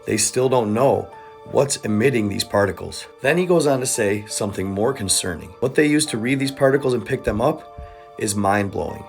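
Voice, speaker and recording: A man's voice: medium pitch, a bit bright, husky. Man narrating mysteries over music. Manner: conspiratorial storytelling with rhetorical questions. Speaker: content creator presenting conspiracy topics. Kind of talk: explainer narration to camera. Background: background music.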